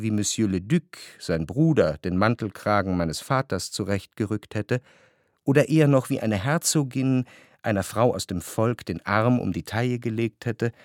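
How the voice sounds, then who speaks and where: A man narrating a literary radio play as a cultured, wryly ironic observer, measured and articulate. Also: medium pitch, no room sound, studio quality, close to an everyday voice.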